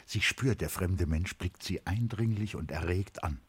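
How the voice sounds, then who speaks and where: A man giving measured, literary narration for a radio play. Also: low-pitched, slightly rough.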